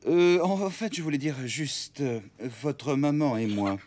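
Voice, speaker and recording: A man's voice: a little high, expressive, measured pace, heavy background noise. A man voicing a cheerful, doting elephant father in a French cartoon dub, theatrical and jovial.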